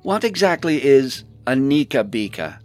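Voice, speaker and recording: A man's voice: medium pitch, very animated, measured pace, a little mellow, faint music behind, pitched above the performer's own voice. A man voicing a polite, earnest male hobbit in a fantasy storybook reading. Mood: puzzled, worried. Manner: courteous, earnest.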